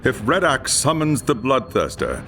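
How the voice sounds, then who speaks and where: A man playing a wise, grave elven lore master in a video game, measured and portentous. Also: mid-range voice, huge pitch swings, creaky, sharp tone, soft background music, full character voice. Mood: solemn guidance. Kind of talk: dramatic video game acting.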